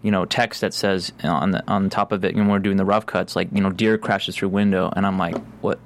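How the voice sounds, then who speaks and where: A man's voice: deep voice, flat delivery, brisk. A man chatting casually as a panel guest, in a rambling but enthusiastic way. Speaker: TV editor guest.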